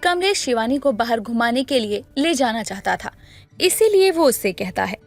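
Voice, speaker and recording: High-pitched woman storyteller. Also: big pitch swings, normal speed, faint music behind. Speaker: narrator. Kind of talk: scripted story narration.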